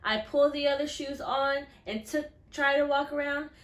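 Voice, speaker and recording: A woman reading a children's picture book aloud expressively, acting out the dialogue and pausing to put questions to listeners. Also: sits high, echoey room. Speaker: read-aloud storyteller.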